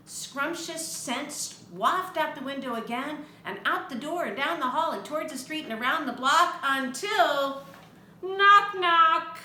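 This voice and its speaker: An older woman reading a picture book aloud to children, expressive and chatty, with asides to the young listener. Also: sits high. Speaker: read-aloud storyteller for children. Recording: echoey room with faint background noise.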